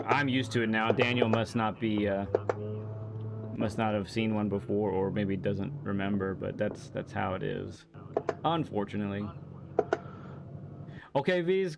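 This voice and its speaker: Low-voiced man coaching, steady hiss. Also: a little slow, vocal fry, long pauses. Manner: calm, encouraging feedback with short remarks. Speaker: host and driving coach. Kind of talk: live-streamed sim racing coaching.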